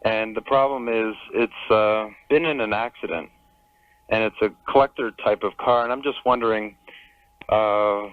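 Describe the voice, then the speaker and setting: A man calling a radio show, speaking casually and haltingly over the telephone. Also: lowish voice, fairly even intonation, smooth, dry recording. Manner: hesitant, halting. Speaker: caller to a radio advice show. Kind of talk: casual phone conversation. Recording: narrow, tinny telephone line.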